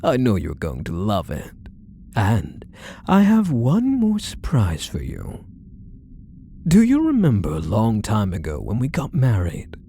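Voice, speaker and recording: Male actor as obsessive doting husband, mellow tone, unhurried, cooing, swooping intonation, ASMR audio roleplay. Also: fairly low pitch, huge pitch swings, a little hiss or hum, heavily acted. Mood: sweetly possessive. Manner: cooing, coaxing, calls the listener pet names. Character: possessive, doting yandere husband and kidnapper, adult man. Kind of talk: ASMR roleplay audio drama, intimate monologue to the listener.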